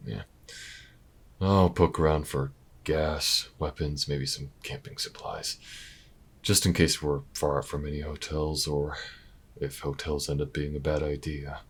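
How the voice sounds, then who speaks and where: An actor playing a weary, wry, protective investigator in an intimate audio drama, low-key and dry, with hesitant pauses. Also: male voice, level tone, full character voice. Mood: weary resolve. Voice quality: very deep, gravelly, husky. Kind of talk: audio roleplay acting, intimate and naturalistic.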